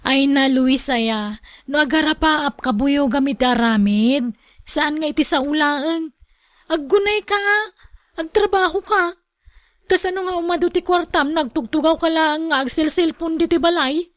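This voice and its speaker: A woman plays a practical, earnest Ilocano wife and daughter. Her voice is high and recorded dry and close. She speaks as a radio drama heroine who keeps the household going. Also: a little slow, dry recording. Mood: scolding.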